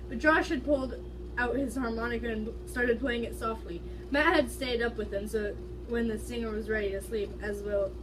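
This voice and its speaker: A woman giving a dramatic amateur reading of fan fiction in a casual way, now and then stumbling over words. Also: on the high side. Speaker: amateur reader. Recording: distant mic, faint background noise.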